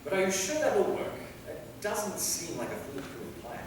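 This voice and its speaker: A weary, sceptical male vampire played by an actor with a widely varying pitch and curt replies. It is a staged reading picked up from far away in a heavily reverberant hall. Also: big pitch swings, moderate pace. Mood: doubtful. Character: exasperated, cautious, reluctant male vampire. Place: theater hall, recorded from a distance. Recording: very echoey hall, far mic, faint noise.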